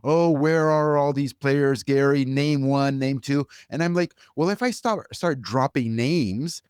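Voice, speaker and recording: A man's voice: medium pitch, a little slow, a little mellow, smooth, dry recording, studio quality. A man hosting a podcast, talking casually and with opinion in an informal conversational way. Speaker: podcast host.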